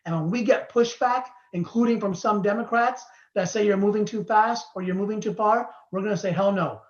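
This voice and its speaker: A man's voice: high-pitched, brisk. A man who is a state assembly member, giving an earnest, urgent political speech over a video call. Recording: echoey dull sound.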